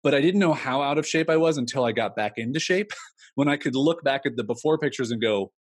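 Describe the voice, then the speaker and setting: Thirties guy, husky voice, dry close sound, chatty and rambling. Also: medium pitch, quick pace, dry recording. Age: 30s. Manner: candid, rambling, lots of "like". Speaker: entrepreneur guest. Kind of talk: casual interview talk.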